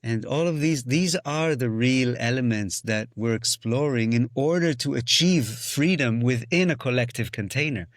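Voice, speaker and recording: A man's voice: medium pitch, moderate pace, hardly any pauses, dry recording. A man whose first language is Hebrew speaks as a guest musician on a podcast. His mid-range voice is thoughtful and searching, often restarting phrases and breaking into sung syllables. The recording is dry and close. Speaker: musician and singing-circle facilitator. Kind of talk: reflective podcast conversation.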